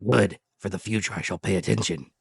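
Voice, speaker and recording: A man's voice: on the low side, brisk, gravelly, husky, full character voice. A man voicing a blunt, hearty harpooner in a storybook, plain-spoken, curious and practical. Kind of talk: dramatic audiobook character voice. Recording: echoey room, music behind.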